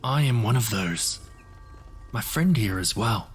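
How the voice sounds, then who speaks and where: Guy playing a polite magical wanderer, slow measured formal talk, sure of himself, audio drama with music. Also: mid-range voice, expressive, close to an everyday voice. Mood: calm. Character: Jimmy, male story-collecting magical wanderer, courteous, self-assured.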